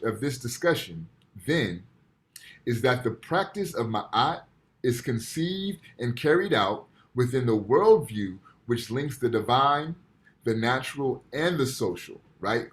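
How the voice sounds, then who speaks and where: A man with an ordinary mid-range voice teaches a university class over a video call. He explains patiently, point by point, and keeps checking in with his students by asking "right?". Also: mid-range voice. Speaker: university lecturer. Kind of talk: online lecture. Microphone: computer mic on video call.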